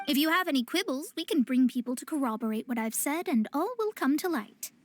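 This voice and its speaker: A woman's voice: high voice, animated, a little fast, a little hiss or hum. An actress playing a proud young female astrologist in a video game, speaking in lofty, formal, theatrical phrases. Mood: stern, challenging. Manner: formal, archaic, lofty diction. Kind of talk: anime-style video game voice acting.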